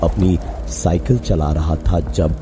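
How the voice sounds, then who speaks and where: A man narrating a scripted horror story in a suspenseful, dramatic way with drawn-out phrasing and pauses. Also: low-pitched. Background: music under the voice.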